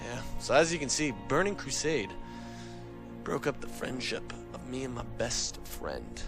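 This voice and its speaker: A man's voice: mid-range voice, lively intonation, a little slow, over music. A man telling a comic gaming anecdote in a casual, profane, dramatic and exasperated way. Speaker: comic storyteller on a gaming talk show.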